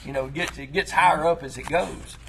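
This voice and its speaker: Hoarse Southern man, creaky voice. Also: fairly high pitch, brisk, background noise. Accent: Southern US, Tennessee. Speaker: host of an outdoors talk show. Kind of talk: casual hunting chat.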